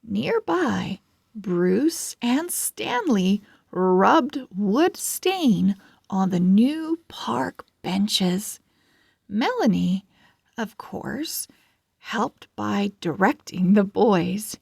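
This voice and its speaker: Very slow, expressive woman storyteller. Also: mid-range voice, vocal fry, breathy edge, dry recording, studio quality. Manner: deliberate, expressive phrasing for young readers. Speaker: children's book read-aloud host. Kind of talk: slow read-along storybook narration.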